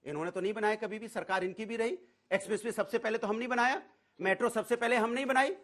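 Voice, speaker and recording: A man's voice: high voice, fast talker, a bit bright. A man arguing insistently as a party spokesman in a political TV debate, often repeating his phrases.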